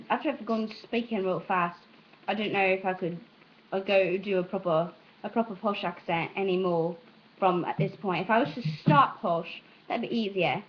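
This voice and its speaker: A woman's voice: mid-range voice, slight background noise. Rambling young American woman putting on British accent. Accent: British, put on by an American. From Southern United States. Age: young adult. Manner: hesitant, self-interrupting, meandering. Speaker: amateur vlogger. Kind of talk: casual rambling chat to camera. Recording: dull, lo-fi sound.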